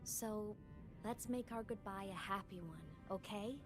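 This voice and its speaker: A woman's voice: medium pitch, measured pace, close to an everyday voice. A woman voicing a plucky, cheeky young heroine in a video game, with breezy, slangy delivery. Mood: tender, brave. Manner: teasing, breezy slangy banter. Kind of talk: video game character acting. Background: game music.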